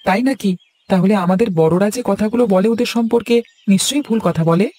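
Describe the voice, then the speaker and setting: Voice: mid-range voice, fast, darkish tone, close to an everyday voice. A performer playing an earnest, supportive young village boy in a cartoon fairy tale. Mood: earnest, encouraging. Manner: earnest, agreeable, encouraging.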